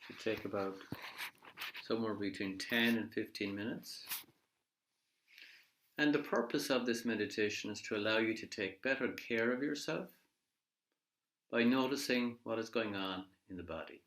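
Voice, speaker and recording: A man leading a guided body scan meditation in a measured, gently instructive way, leaving pauses between phrases. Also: on the low side, slight background noise. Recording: echoey room.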